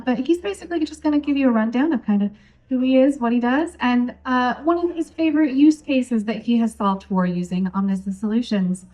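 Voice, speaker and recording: A woman's voice: fairly high pitch, mellow, poor audio. A woman moderating a conference panel, casual and bubbly, laughing easily as she introduces the speakers. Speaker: community manager and panel moderator. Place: conference stage.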